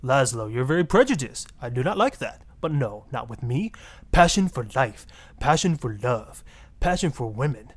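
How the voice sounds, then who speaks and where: A man's voice: mid-range voice, big pitch swings, moderate pace. A man voicing a self-righteous, grandiose marriage guru with a put-on Latin accent on a parody radio talk show. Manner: grandiose, preachy, easily offended.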